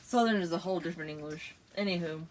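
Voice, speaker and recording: A woman's voice: lowish voice, fast talker, slight background noise. A woman hosting a casual chat, explaining things in a giggly, chatty way with plenty of fillers. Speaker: podcast host.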